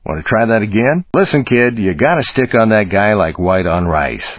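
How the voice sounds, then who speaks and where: Husky stunt-driving coach. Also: male voice, fairly low pitch, animated, normal speed, dry recording. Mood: urging. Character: brash, encouraging male Hollywood stunt-driving coach. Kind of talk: video game voice acting. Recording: dull lo-fi game audio.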